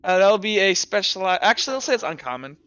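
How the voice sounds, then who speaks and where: A game master narrating a tabletop roleplaying game in a casual, halting, informal way. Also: mid-range voice, lively intonation, fairly bright tone, highs cut off.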